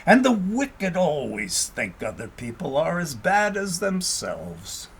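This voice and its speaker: A male audiobook narrator with a high voice that has a rough, husky grain. His pitch swings widely as he reads a historical tale. The delivery is expressive and measured, like scripted storybook narration.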